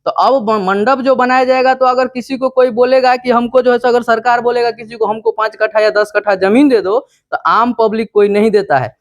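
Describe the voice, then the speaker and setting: A man's voice: very high voice, fairly even intonation, fairly quick, hardly any pauses, no room sound, clean studio sound. A man with a Bihari accent presenting an informal explainer, teaching step by step with repetition and questions he answers himself. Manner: didactic, repetitive, asks and answers own questions. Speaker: video presenter explaining land law.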